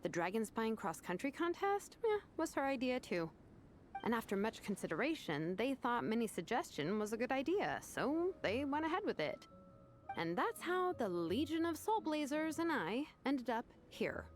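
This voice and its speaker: A laid-back young vice captain in a video game, wry and sardonic. Her voice sits fairly high and moves freely, with faint music behind it. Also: very animated, normal speed. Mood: amused, dry. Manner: casual, wry, scathing.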